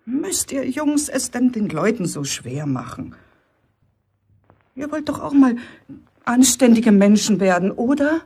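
A woman's voice: fairly high pitch, very animated, measured pace, long pauses, dark tone, slightly rough, full character voice. An actress playing a middle-aged pub landlady and strict mother in a German radio play, brusque, nagging and colloquial. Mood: exasperated.

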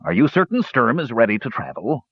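A man voicing a gruff, stubborn old male dwarf who growls his words out slowly. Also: medium pitch, big pitch swings, dry recording, pitched above the performer's own voice. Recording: dull dry recording.

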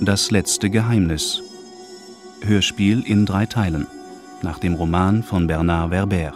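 A man reading a scripted radio drama announcement, formal and measured, with music behind him. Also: sits low, fairly even intonation.

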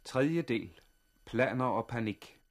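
A man's voice: medium pitch, expressive, lightly acted. A man as a formal 1960s radio announcer introducing a drama serial. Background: loud brass music.

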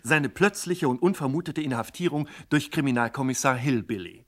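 An actor playing a pompous narrator who delivers a scripted crime serial with tongue-in-cheek drama. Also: male voice, medium pitch, a little fast, lightly acted. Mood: mock-solemn.

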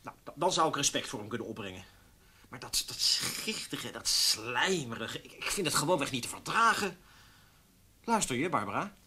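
A man's voice: medium pitch, big pitch swings, normal speed, pushed and bright, a little hiss or hum. An actor in a radio play playing an indignant, self-justifying male newspaper columnist who talks hesitantly and stammers. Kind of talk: naturalistic radio play dialogue.

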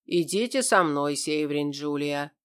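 A woman's voice: deep voice, animated, measured pace, clear, resonant tone, pitched below the performer's own voice. A woman voicing a stern male fantasy lord who speaks formally and commandingly in an audiobook. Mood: stern, accusing.

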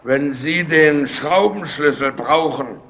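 An actor playing a domineering, suspicious and irritable lighthouse keeper in an old German radio drama, speaking in a stern, interrogating way. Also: male voice, a little high, slow, a bit bright. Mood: angry, commanding. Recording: dull, noisy old radio recording.

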